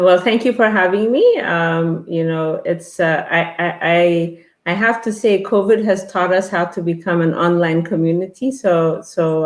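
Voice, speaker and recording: A woman in her fifties from Ethiopia, speaking as a guest in a casual online interview and pausing often with fillers and restarts. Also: lowish voice, reverberant. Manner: halting, many fillers and restarts.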